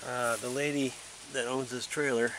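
An older man welding outdoors in the wind, giving a relaxed, muttered running commentary on his work. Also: medium pitch, moderate pace. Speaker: welder. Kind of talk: casual narration while working. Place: outdoors, windy yard beside a trailer. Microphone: camera mic, speaker moving about under a welding helmet. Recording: loud outdoor noise. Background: loud wind and welding noise.

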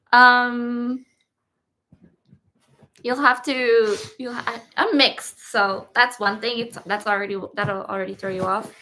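A woman's voice: a little high, slow, pauses often, sharp tone, a little hiss or hum. A young woman vlogging casually in a bedroom, teasing and laughing often as she chats. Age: young adult. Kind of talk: casual chat. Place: bedroom, indoors.

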